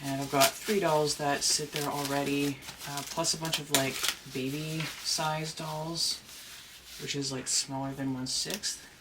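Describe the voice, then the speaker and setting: A deep-voiced person chatting casually while unboxing a doll at home, rambling and gushing with excitement. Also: a little slow. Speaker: doll collector and vlogger. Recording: noisy home recording.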